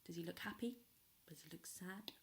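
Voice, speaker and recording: A woman reading a children's story aloud in an expressive storytelling style. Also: on the low side, gravelly, slight background noise. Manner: pauses to ask young listeners questions. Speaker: storyteller at a primary school.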